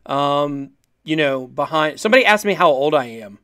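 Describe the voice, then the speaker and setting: A man's voice: on the high side, animated, moderate pace, sharp tone, clear, resonant tone, no echo, clean studio sound. A man in his thirties hosting a casual livestream interview, talking in a conversational, explanatory way. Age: 30s. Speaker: livestream host.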